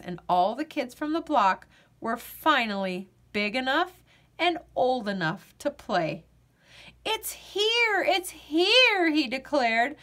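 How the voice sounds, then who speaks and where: Slow, expressive woman reading a picture book. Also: fairly high pitch. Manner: expressive, storytelling. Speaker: children's story reader. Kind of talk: picture book read-aloud.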